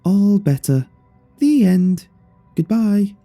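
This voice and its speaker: Give this female puppet , acted out by a man, a high, swooping voice full of scolding and menace. She orders people about in sing-song commands, as in a horror storybook reading, over music. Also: sing-song, takes it slowly, gravelly, warm tone, heavily acted. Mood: smugly satisfied.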